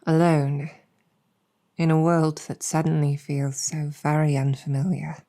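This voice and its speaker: A woman voicing a weary, earnest demon general in an intimate audio roleplay, speaking reflectively with hesitant pauses. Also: low voice, flat delivery, mellow. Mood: tender, earnest.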